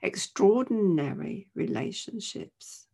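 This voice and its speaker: Croaky-voiced woman preaching. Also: mid-range voice, expressive, slow, dark tone. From England. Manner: earnest, conversational, many "you know" asides. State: croaky voice with a chesty cough. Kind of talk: informal sermon to camera. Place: indoors at home.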